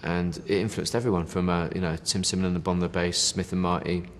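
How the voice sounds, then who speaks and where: A man's voice: low voice, flat delivery, brisk, big-room sound, slight background noise. A man chatting casually in an interview, rambling with lots of fillers and the odd "mate". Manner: rambling, many fillers, says "you know" and "mate". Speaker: band member interviewed.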